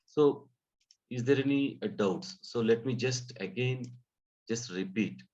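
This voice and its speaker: A man giving a live software training lesson, explaining step by step in a halting way, often repeating and rephrasing his phrases. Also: mid-range voice. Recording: dull, muffled lo-fi audio.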